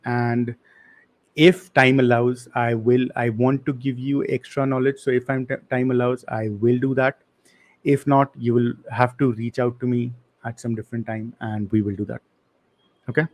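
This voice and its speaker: A man's voice: medium pitch, flat delivery, moderate pace, darkish tone. A young man teaching a live online coding class, casual and apologetic, answering the chat with slang.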